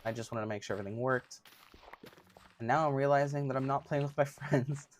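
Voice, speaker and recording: A man in his thirties with a somewhat high-pitched voice, chatting casually with livestream viewers in a giggly, chatty, self-mocking way. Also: fairly even intonation, brisk, a little hiss or hum. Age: 30s. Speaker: streamer.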